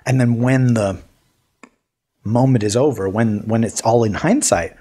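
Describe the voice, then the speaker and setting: A man co-hosting a casual podcast, telling stories in a lively way with lots of restarts. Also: medium pitch, measured pace. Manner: animated storyteller, many restarts. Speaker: podcast co-host. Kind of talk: casual chat.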